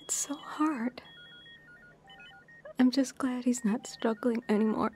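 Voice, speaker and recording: Tender heartbroken mother, high voice, slow halting delivery, emotional, audio drama. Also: fairly even intonation, darkish tone, soft background music. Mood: hurt, tender.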